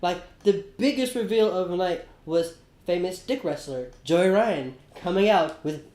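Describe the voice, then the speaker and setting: A man co-hosting a casual podcast, talking in an excitable, animated way and swearing casually. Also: high voice, a little slow, roomy sound. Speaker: podcast co-host.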